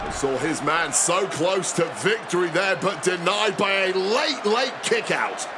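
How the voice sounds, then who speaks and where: A man giving excited wrestling commentary in a dramatic, grandiose way. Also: sits high, a little slow, noisy background. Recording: loud music and roaring arena crowd. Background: music and crowd.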